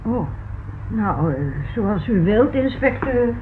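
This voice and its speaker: A woman's voice: on the high side, lively intonation, takes it slowly. An actress in a Dutch radio play playing a stubborn, protective married landlady who fusses and insists. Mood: reluctant. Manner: fussing, insistent. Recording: dull old radio recording with noise.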